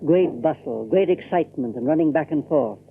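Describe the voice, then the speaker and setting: An actor playing a brooding storyteller from a stern old family, addressing the listener with theatrical, rhetorical questions in an audio drama. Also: male voice, a little high, lively intonation, fairly quick, slightly rough. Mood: hushed excitement. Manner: theatrical, rhetorical, emphatic repetition. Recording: muffled lo-fi tape with loud music.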